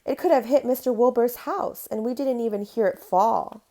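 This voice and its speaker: A woman's voice: fairly high pitch, normal speed, warm tone, pitched above the performer's own voice, natural voice. Woman reading as a wondering young storybook boy.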